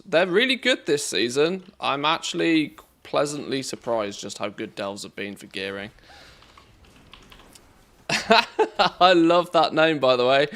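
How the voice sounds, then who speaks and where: A man with an English accent giving relaxed, chatty commentary on a live game stream, reacting to play and greeting viewers. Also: mid-range voice. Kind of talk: casual live-stream commentary while gaming.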